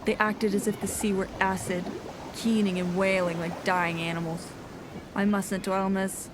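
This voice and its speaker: A woman's voice: mid-range voice, background noise, lightly acted. A woman playing a grave, haunted nineteenth-century sea captain reading a log in solemn, formal diction for an audio drama. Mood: grave, uneasy. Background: music.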